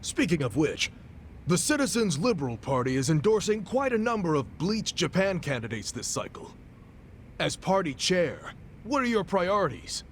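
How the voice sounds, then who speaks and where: A formal male interviewer in a video game, asking polite, prompting questions. His voice is high and very lively, with a faint rumble behind. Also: normal speed. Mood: politely probing.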